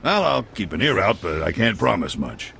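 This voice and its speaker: An actor playing an evasive, colloquial cantina local in a video game. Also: male voice, fairly low pitch, moderate pace, gravelly, slight background noise, full character voice. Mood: defensive. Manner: animated, colloquial. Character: flustered, evasive male cantina local telling tales.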